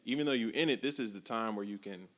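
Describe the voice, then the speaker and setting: A man in his twenties co-hosting a podcast, talking casually and reflectively in relaxed slang. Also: fairly low pitch, fast. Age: 20s. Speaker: podcast co-host. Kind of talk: casual chat. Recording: long hall reverb.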